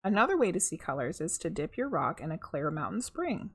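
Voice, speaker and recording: A middle-aged woman reading a children's picture book aloud, expressive and conversational, with casual asides to her viewers and a slightly husky voice. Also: lowish voice, a little fast.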